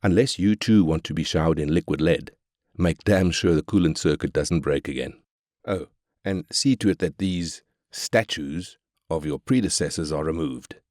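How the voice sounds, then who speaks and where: A man's voice: a bass voice, normal speed, darkish tone, dry recording, studio quality. A man playing a ruthless, arrogant corporate tycoon, brash and cynical, in scripted video game lines. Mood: menacing, contemptuous. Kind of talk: scripted game character quotes.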